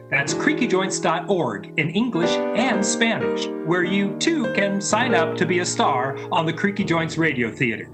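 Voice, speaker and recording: A man reading as a radio drama announcer and narrator in a scripted style. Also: fairly high pitch. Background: plucked guitar music.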